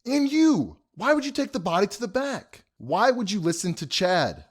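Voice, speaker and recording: A man's voice: high-pitched, sing-song, normal speed, slightly rough, heavily acted. A man playing an exasperated, scolding young groom in an audio drama. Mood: angry, hurt. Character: exasperated groom, scolding his wedding party.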